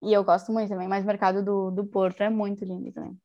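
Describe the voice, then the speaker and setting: A young woman chatting in a relaxed interview. She talks with enthusiasm, looping back with little fillers as she goes. Her voice sits at an ordinary middle pitch. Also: quick pace, dull audio. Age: young adult. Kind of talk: casual conversation.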